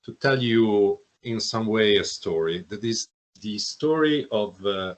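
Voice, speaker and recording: A man's voice: on the low side, slow pace. A man with Italian as his first language starting an academic talk, apologetic and hesitant. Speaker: conference speaker. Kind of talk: academic presentation.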